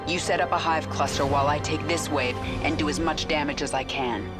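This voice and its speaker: A woman's voice: low-pitched, steady tone, fairly quick, close to an everyday voice. An actress playing a cold, decisive female queen of an alien swarm who gives commands. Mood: commanding. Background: loud game music.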